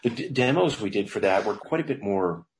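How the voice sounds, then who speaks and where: Low-voiced man, rambling casual talk. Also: expressive, a little fast, soft background music. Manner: rambling, loose, with frequent restarts and laughs. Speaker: songwriter guest.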